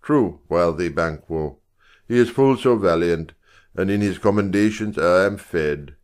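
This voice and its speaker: A man's voice: low voice, a little slow, a little mellow, smooth. A man playing a gracious, grateful king in a dramatic Shakespearean reading, speaking in a stately, declamatory way. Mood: approving. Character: gracious, grateful old Scottish king bestowing honours.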